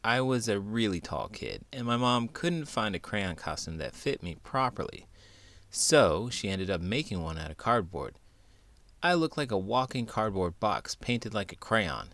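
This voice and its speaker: A man hosting a children's read-aloud, speaking in an expressive, playful storytelling style. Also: fairly low pitch, measured pace, no room sound. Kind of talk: lively children's storytelling and chat.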